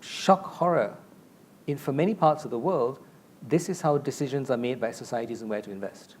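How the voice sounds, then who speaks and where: A middle-aged man from Malaysia talks through a lapel mic on a conference stage, explaining ideas at length and with conviction. His pitch sits in a plain middle range. A faint low rumble sits under his voice. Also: normal speed, darkish tone. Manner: expansive, explanatory, gestures a lot while talking. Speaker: panelist. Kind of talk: conference panel discussion. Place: large stage with a curtain backdrop.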